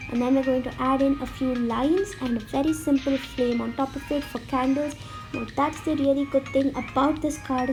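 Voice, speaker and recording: A woman with a slightly sick-sounding voice, explaining a drawing tutorial step by step in a friendly, relaxed way. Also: sits high. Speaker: art tutorial host. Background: faint background music.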